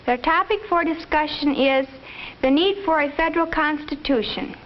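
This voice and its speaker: Woman as high-voiced 1950s schoolgirl announcer. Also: normal speed, natural voice. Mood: formal. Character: formal schoolgirl playing a radio station announcer. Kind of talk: 1950s educational film, mock radio announcement. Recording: muffled 1950s film sound, faint noise.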